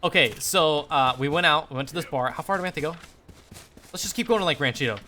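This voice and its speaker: A young man telling a casual story while gaming on a livestream, animated and slangy, swearing freely. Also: fairly high pitch, fast talker, slight background noise. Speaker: live streamer.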